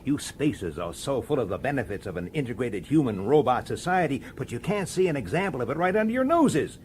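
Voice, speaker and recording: Radio drama detective, played by a man: determined, insistent and cornered, highly varied intonation, slight background noise. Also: very animated, brisk, darkish tone, natural voice. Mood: triumphant, insistent. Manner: insistent, accusatory, clipped sardonic questioning. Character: dogged, defiant male police detective, framed and determined.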